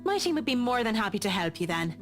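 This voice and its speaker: A woman's voice: fairly high pitch, very animated, fast, soft background music. A woman playing a polite, guarded professional in a visual novel game, formal and restrained in manner. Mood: polite, firm.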